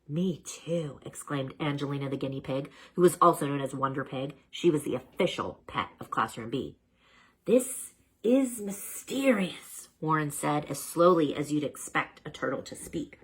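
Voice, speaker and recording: A woman reading a children's story aloud in an animated narrating style. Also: low voice, fairly quick, few pauses, husky, low-quality recording. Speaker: storybook reader.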